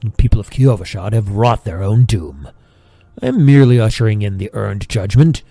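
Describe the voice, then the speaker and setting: A man plays a self-righteous friar in an audio drama, plotting in secret. His delivery is soft-edged and expressive, full of pious self-justification, in a dry, close space. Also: fairly low pitch, normal speed, creaky, no room sound. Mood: smug, self-righteous.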